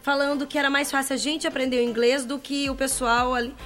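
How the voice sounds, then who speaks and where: A woman hosting a casual talk show, chatty and quick to laugh, asking her guest many questions. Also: sits high, level tone, fairly bright tone, soft background music. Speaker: host. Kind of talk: casual chat.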